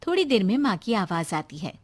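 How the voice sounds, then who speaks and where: Female narrator, scripted storytelling, animated intonation, mid-range pitch. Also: brisk.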